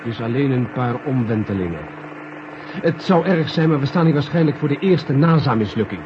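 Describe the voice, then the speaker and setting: Actor as animated mission official, noisy muffled recording. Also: male voice, mid-range voice, normal speed, natural voice. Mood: grave, insistent. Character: male space mission official, urgent and insistent. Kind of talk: 1970 Dutch radio drama acting.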